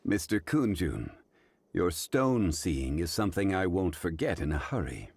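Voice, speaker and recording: A man voicing a composed, dignified male consultant in a video game, speaking in a measured, formal way. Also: sits low, very animated, gravelly, a little mellow, slightly rough, dry recording, heavily acted. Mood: approving.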